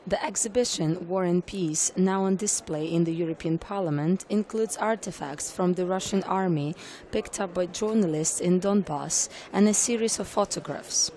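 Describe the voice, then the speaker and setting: A woman news reporter giving clear, formal scripted narration. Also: lowish voice, moderate pace, warm tone, a little hiss or hum.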